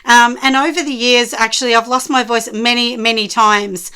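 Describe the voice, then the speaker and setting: A woman who makes soap, chatting with viewers as she packs orders in her small studio, husky and hoarse from a cold. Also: on the high side, fairly even intonation, moderate pace, bright, forceful tone. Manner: chatty, talks straight to the viewers. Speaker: soap maker running a small business. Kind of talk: casual chat while packing orders. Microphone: camera set low on a surface.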